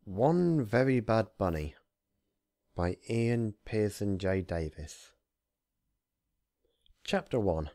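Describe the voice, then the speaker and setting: A man who hosts readings of fan fiction, talking casually and narrating with theatrical, deliberate phrasing. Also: on the low side, expressive, dark tone, dry recording, studio quality. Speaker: fan fiction reader, channel host. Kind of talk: casual intro, then narrated story reading.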